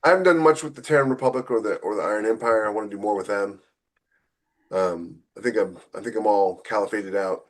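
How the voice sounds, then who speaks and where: A man's voice: lowish voice, fast. A man in casual conversation as a podcast co-host and author, with a wry, joking way of talking. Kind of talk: casual chat about writing.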